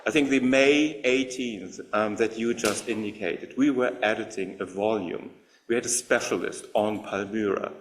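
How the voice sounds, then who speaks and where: A man's voice: lowish voice, normal speed, slight background noise, low-quality recording. A man moderating a meeting, speaking in a rambling, admiring way with many fillers. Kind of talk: conversational remarks to an audience.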